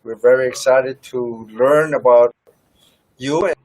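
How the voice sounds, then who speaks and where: A man's voice: mid-range voice, a little slow, darkish tone, low-quality recording. A man from Colombia hosting an online interview, welcoming people with short interjections. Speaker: host. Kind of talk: interview over a video call.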